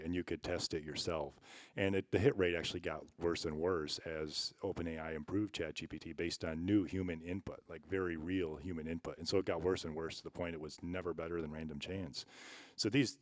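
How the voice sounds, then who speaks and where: A man's voice: low-pitched, dry recording. A man on a stage panel explaining a technical backstory. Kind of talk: panel discussion.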